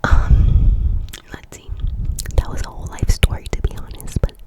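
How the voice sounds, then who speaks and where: A woman in her twenties from Colombia, whispering a casual ASMR chat and softly repeating trigger words. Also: normal speed. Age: 20s. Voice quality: breathy unvoiced whisper. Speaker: ASMR creator.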